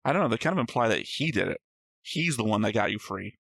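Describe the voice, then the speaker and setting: A man's voice: lowish voice, lively intonation, quick pace, vocal fry, fairly bright tone, breathy edge, dry recording, studio quality. A man co-hosting a reaction show, reasoning aloud in a casual, argumentative discussion of story theories. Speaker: co-host of an anime reaction show.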